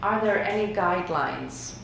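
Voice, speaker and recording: Woman lecturer, native Greek speaker, chatty and relaxed delivery, slightly low voice, very reverberant room with faint hiss. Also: a little slow, vocal fry. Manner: conversational, many fillers, laughs lightly. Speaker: workshop lecturer. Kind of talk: lecture presenting survey results. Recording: very echoey room with faint noise.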